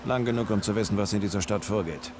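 An actor playing a grim, determined special forces squad leader in a dubbed video game. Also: male voice, on the low side, quick pace, noisy background, lightly acted.

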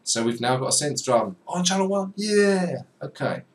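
A young man giving a chatty, self-deprecating software tutorial at his desk, talking to the viewer as he clicks through menus. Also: a little high, lively intonation, normal speed. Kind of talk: screen-recorded software tutorial. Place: small room at a desk. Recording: slightly distant mic with faint room noise.

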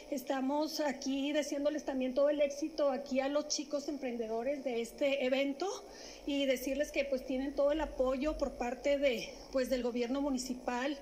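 A middle-aged woman, a city official, announcing an event at a press conference into a stand microphone, speaking haltingly with many fillers and restarts. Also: high voice, darkish tone, a little hiss or hum, rough recording. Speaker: municipal government official. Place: indoor press room.

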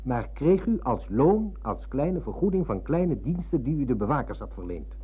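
Husky, courteous but needling middle-aged man. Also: medium pitch, sing-song, normal speed. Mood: coolly accusing. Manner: formally courteous, insistent. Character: polite, probing, needling middle-aged male visitor. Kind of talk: radio drama acting. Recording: muffled, narrow-band old radio recording.

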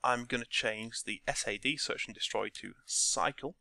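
A man with a croaky voice talking through a game scripting tutorial step by step from his desk. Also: medium pitch, moderate pace, dry recording. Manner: relaxed step-by-step explaining. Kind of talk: screen-recorded tutorial narration.

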